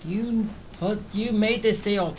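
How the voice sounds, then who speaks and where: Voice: medium pitch, slow, darkish tone, slight background noise. A gaming streamer giving casual commentary, thinking aloud in short muttered phrases while playing. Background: game music.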